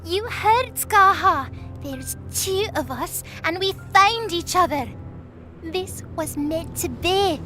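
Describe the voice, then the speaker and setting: A woman's voice: extremely high pitch, expressive, slow, pushed and bright, faint music behind, exaggerated voice. A woman voicing a small, earnest, stubborn girl creature with a childlike, pleading manner in an audio drama. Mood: insistent. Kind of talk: audio drama character acting.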